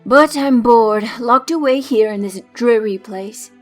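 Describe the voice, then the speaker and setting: Woman playing a higher-pitched, bossy young fugitive. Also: unhurried, soft background music, natural voice. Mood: bored, pouting. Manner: haughty, impatient. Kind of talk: amateur comic dub voice acting.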